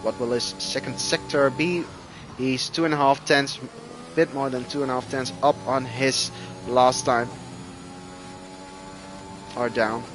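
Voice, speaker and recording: A man whose first language is Dutch, commentating live on an online racing game with excitable bursts. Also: mid-range voice, measured pace, pauses often, over music. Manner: excitable, rising loud bursts at crashes. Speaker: esports race commentator.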